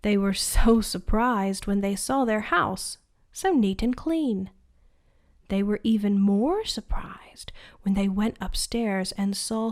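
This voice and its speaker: Very slow, hushed bedtime storyteller woman. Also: mid-range voice. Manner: soft, hushed and soothing. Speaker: host and storyteller.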